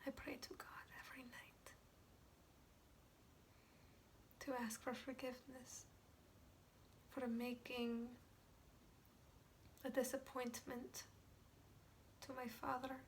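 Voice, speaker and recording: A woman acting a guilt-ridden, fragile Polish woman in a dramatic monologue, with a Polish accent and halting, stammering speech that often drops to whispers. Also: sits high, steady tone, gravelly, distant mic, slight background noise, exaggerated voice. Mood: anguished, reverent. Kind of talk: dramatic film monologue, amateur.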